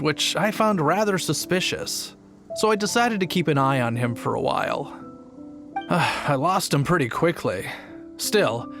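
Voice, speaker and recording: A man's voice: medium pitch, lightly acted. A man playing an easygoing young city guard in an English game dub, with a casual, nonchalant, chatty manner.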